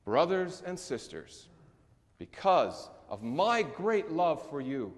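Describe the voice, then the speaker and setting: Middle-aged pastor preaching in a reverberant church. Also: male voice, on the high side, sing-song. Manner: measured preaching with slow emphatic stresses and falling endings. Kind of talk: sermon. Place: large church sanctuary. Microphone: distant camera recording in the sanctuary.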